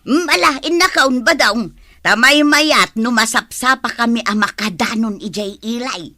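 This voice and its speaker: A woman's voice: on the high side, a little slow, bright, forceful tone. A woman playing an eager village wife in a comedy drama, drawling and insistent. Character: eager village wife planning outings for social media.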